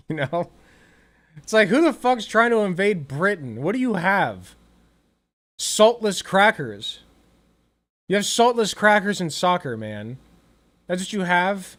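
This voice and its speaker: A man's voice: sits high, big pitch swings, fairly bright tone. Sarcastic, swearing male commentator. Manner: sarcastic, swears freely, quick asides. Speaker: political commentator reacting to a video. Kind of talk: casual reaction commentary.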